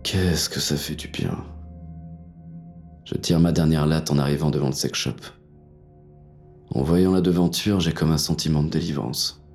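Noir audio fiction lead: male actor as cynical wounded gunman on the run, very deep, French accent, terse and crude, music underneath. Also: fairly even intonation, normal speed, pauses often, a little mellow. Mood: grim, cynical. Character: hard-boiled, cynical, wounded male fugitive with a gun. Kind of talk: first-person noir audio fiction narration.

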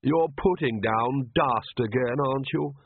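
A man playing a suave, condescending young vampire aristocrat in an audiobook, speaking slowly and with polish. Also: medium pitch, husky, no echo, narrow, tinny audio. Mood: icy, irritated.

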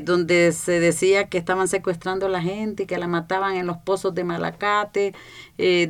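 Woman, Honduran accent, calm and steady, lower pitch. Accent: Honduran Spanish. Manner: calm, recounting, fillers "eh". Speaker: migrant-family organizer, panel guest. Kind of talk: radio panel testimony.

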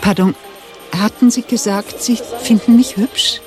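A woman's voice: mid-range voice, fairly even intonation, measured pace, faint music behind, lightly acted. An actress playing a blunt, impulsive executive woman in a radio play, both commanding and despairing. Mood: despairing, needy. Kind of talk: German radio play acting.

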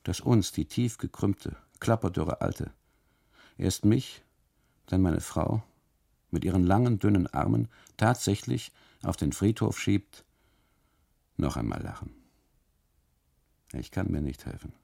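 A man performing dramatic first-person literary narration in a gravelly, husky voice, expressive and deliberate, with broken phrasing. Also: low-pitched, dry recording, clean studio sound. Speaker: narrator of a literary radio monologue.

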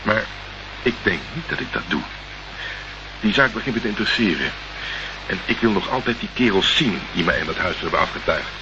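A man's voice: low voice, expressive, a little fast, pauses often. An actor playing a wry, probing investigator in a radio crime play, polite but pointed in his questions. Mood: determined. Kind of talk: Dutch radio play acting. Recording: dull, noisy old radio play recording.

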